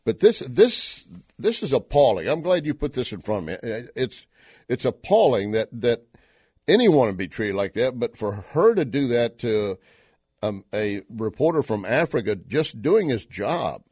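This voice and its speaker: A man's voice: medium pitch, sing-song, a little slow, a little mellow, husky, no room sound, clean studio sound. A Texan man hosting an opinion talk show, commenting indignantly with emphatic questions. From Texas.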